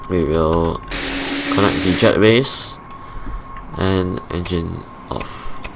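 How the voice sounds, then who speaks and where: A young man with a Singaporean English accent, his low voice gravelly and raspy as if he has just woken up. He talks slowly and haltingly to himself while working through an aircraft shutdown checklist. Loud engine hum runs underneath him. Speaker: flight sim streamer. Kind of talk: casual play-by-play of a simulated flight.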